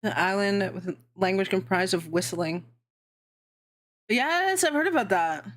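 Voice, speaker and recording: A woman's voice: mid-range voice, lively intonation, a little slow, a bit bright. A young adult woman hosting a casual livestream, chatting in a rambling way, swearing freely and laughing often. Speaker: VTuber streamer hosting a worldbuilding session.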